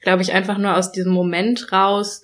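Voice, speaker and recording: A young woman talking reflectively in a casual conversation, pausing often with fillers and restarting her sentences. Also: medium pitch, little pitch movement, a bit bright. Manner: thoughtful, many fillers and restarts, laughs when quoting others.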